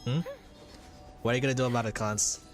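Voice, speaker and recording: A man talks casually to his livestream viewers as he plays a game. His voice sits at an average pitch, with some game music playing behind it. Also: fairly even intonation, quick pace. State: hiccups.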